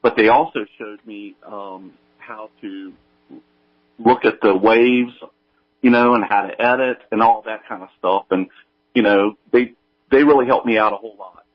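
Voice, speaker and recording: Halting, low-voiced man on a dull line. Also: fairly even intonation. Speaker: guest, audio drama creator. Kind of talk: casual interview answers. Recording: dull remote line with fluctuating volume.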